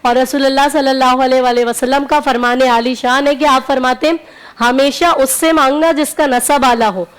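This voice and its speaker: A woman's voice: high-pitched, steady tone, moderate pace, clean tone. A woman scholar giving religious teaching on a talk show, explaining point by point and repeating key phrases. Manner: didactic, explaining in numbered points with repetition. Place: TV broadcast studio.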